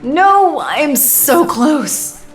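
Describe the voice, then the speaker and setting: An actress playing a powerful, gloating villainess straining in pain in an audio drama. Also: female voice, high-pitched, very animated, very slow, soft background music, exaggerated voice. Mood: desperate.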